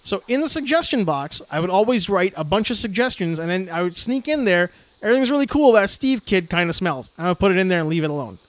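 A young man chatting casually on a podcast, telling a long story with frequent laughs and lively retellings. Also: sits high, fast talker. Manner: rambling storyteller, laughs often, animated retellings. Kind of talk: casual chat.

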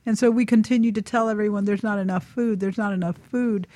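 A woman's voice: mid-range voice, steady tone, fast, warm tone, no room sound. A woman speaking earnestly and emphatically in a casual radio discussion, repeating phrases for emphasis.

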